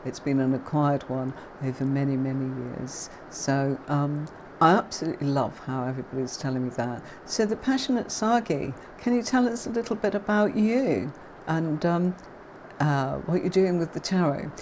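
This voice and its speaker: Deep-pitched British woman, neutral accent, chatty livestream tarot teacher, faint noise in background. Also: normal speed, low-quality recording. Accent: neutral southern English, faint Yorkshire. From Yorkshire, England. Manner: chatty, warm greetings to viewers, many fillers. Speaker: tarot reader hosting a livestream.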